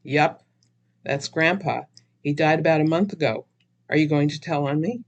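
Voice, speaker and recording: A woman's voice: deep voice, moderate pace, lo-fi sound. A woman voicing a confused, desperate boy in a radio play, bossy and pleading in short, clipped sentences. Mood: hushed pleading. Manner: hollers urgent commands, clipped short sentences.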